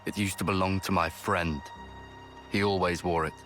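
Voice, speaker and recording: An actor playing a bitter, menacing soldier in a video game, with a cold, taunting way of talking. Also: male voice, low voice, steady tone, moderate pace, music in the background, close to an everyday voice. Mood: bitter, accusing. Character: bitter, menacing male soldier avenging a friend. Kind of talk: scripted video game cutscene acting.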